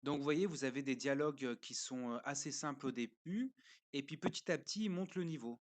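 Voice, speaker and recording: A man of middling pitch talks through a review in a loose, unscripted way. He often stops on fillers and walks the listener through each step as he goes, like someone showing things on a screen. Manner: hesitant, pauses often with fillers. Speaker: parent reviewing a learning platform. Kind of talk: casual spoken review.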